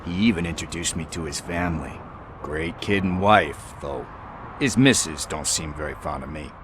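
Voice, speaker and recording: A deep-voiced street hustler. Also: male voice, moderate pace, noisy background. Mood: wheedling. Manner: chatty, wheedling, casual slang. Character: shifty street hustler, middle-aged man, chatty and evasive.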